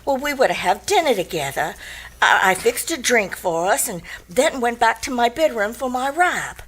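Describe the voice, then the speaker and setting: A woman's voice: a little high, lively intonation, moderate pace, a bit bright, rough recording. An actress playing an anxious, flustered wife in a radio detective drama, hesitant and stammering as she pleads for help. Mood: nervous, explaining. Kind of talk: old-time radio detective drama acting.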